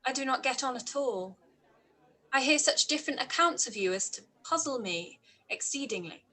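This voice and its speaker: An actress playing a witty, proud young gentlewoman in a period radio-play reading, with arch, pointed, formal delivery. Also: sits high, animated, moderate pace, heavily acted. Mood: probing.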